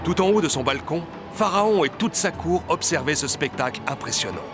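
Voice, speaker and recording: A man's voice: fairly high pitch, expressive, brisk. A man giving scripted narration of a story as narrator. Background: music, outdoor ambience effects.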